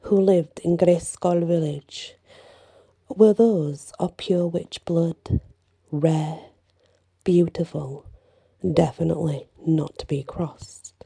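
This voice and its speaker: A woman softly reading a bedtime story in ASMR style, breathy and drifting into whispers, lingering between short phrases. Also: low voice, fairly even intonation, slow, no echo.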